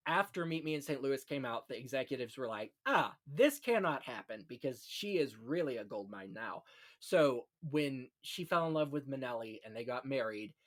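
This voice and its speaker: A man's voice: mid-range voice, animated, normal speed. A man hosting a casual film history chat, telling anecdotes in a gossipy, conversational way. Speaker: podcast host.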